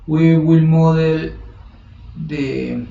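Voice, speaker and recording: Slow, halting man with gravelly voice. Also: fairly high pitch, steady tone, dark tone. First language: Spanish. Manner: halting, thinks aloud, many restarts and self-corrections. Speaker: software tutorial instructor. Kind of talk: screen-recorded software tutorial. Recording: roomy, distant, dull lo-fi home recording.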